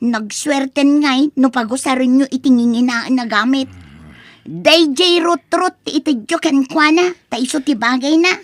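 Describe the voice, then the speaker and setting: A woman's voice: sits high, measured pace, no room sound, studio quality. A woman acting a spoiled, haughty college-age daughter in an Ilocano radio drama, whiny and scornful, with an Ilocano accent. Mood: petulant, indignant.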